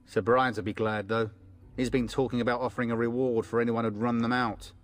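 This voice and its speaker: A man voicing a gruff, unwelcoming village man in a fantasy video game, curt and sardonic. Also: mid-range voice, level tone, fairly quick, lightly acted. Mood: sour, sardonic.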